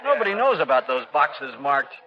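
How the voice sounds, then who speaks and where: An actor playing a flippant, carefree young playboy in a 1930s radio drama. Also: male voice, on the high side, sing-song, moderate pace. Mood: amused, flippant. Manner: flippant, breezy. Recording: muffled 1930s radio with background chatter.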